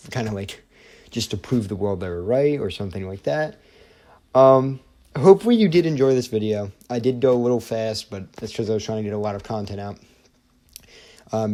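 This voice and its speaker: A man's voice: mid-range voice, warm tone. A young man narrating a game lore theory video, hesitant, with many fillers and restarts. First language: not Norwegian. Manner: hesitant, lots of ums and restarts.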